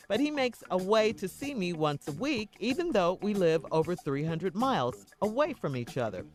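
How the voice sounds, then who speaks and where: Female radio co-host, lively intonation, mid-pitched, reading copy over soft music. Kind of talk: radio hosting, reading letters and ads. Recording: faint music behind the voice.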